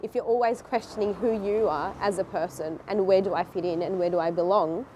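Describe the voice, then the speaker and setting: A woman's voice: medium pitch, fairly quick, darkish tone, a little hiss or hum. A young woman from Bangladesh with an Australian accent, speaking reflectively in a documentary interview and laughing easily.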